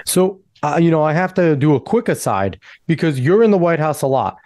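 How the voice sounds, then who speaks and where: A man's voice: on the high side, animated, brisk, darkish tone, no room sound, studio quality. Friendly male host from New Jersey. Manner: friendly, conversational questions. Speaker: podcast host. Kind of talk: casual interview.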